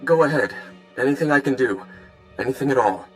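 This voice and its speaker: A man's voice: mid-range voice, expressive, brisk, soft background music, lightly acted, poor audio. An actor voicing a stern, righteous middle-aged male warrior monk in a video game, speaking formally and earnestly. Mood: polite, guarded. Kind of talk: dramatic video game cutscene acting.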